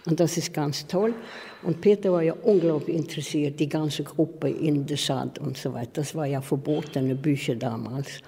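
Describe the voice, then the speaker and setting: Older swedish-accented lady telling memories, really low mellow voice, echoey hall. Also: normal speed, vocal fry, slight background noise. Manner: non-native grammar, emphatic storytelling. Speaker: interviewee, former stage designer. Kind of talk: personal reminiscence in an interview.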